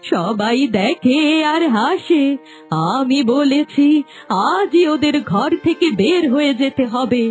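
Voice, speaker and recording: A woman playing a harsh, domineering older mother-in-law in an animated folk story. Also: sits high.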